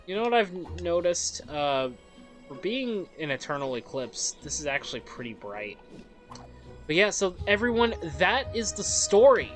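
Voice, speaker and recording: A woman's voice: fairly low pitch, big pitch swings, moderate pace. A woman hosting a let's play, reading a game's story aloud in a dramatic storyteller way and adding her own commentary. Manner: dramatic storyteller delivery with interjections. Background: game music.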